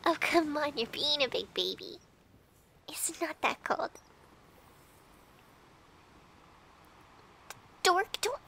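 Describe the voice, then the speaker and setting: A woman playing a bratty but affectionate, easily flustered young girlfriend in an intimate ASMR roleplay, pouty and teasing, often dropping to breathy murmurs. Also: high voice, very animated, measured pace, sharp tone, a little hiss or hum, exaggerated voice. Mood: playful, teasing.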